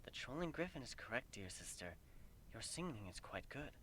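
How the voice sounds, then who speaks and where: A man voicing a calm, sly elder pony princess, soft and composed, in a story reading. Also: mid-range voice, moderate pace, slight background noise, pitched below the performer's own voice. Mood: calm approval.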